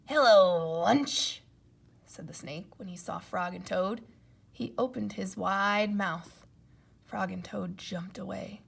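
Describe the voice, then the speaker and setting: A woman reading a children's storybook aloud as an expressive narrator. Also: mid-range voice, vocal fry, husky. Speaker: storybook reader.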